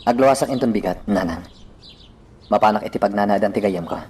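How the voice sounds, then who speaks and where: Man as earnest young-hearted son, Ilocano accent, low voice, brisk reassuring delivery, radio drama, faint background noise. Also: darkish tone, close to an everyday voice. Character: earnest grown son speaking to his mother.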